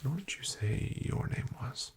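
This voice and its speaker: A man whispering an ASMR roleplay as a house-call doctor, hushed and wryly deadpan with soft fillers. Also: a little slow, very noisy.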